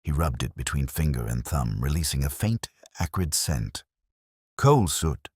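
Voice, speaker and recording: A man's voice: extremely low pitch, normal speed, a little mellow, husky, no echo, clean studio sound. A man reading a detective mystery as scripted first-person audiobook narration.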